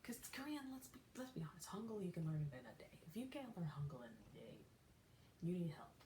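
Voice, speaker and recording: A young woman hosting a solo podcast, talking casually in a soft, half-whispered way, rambling and correcting herself as she goes. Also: on the low side, big pitch swings, brisk. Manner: rambling, self-correcting, chatty. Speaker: podcast host. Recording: echoey room with faint noise.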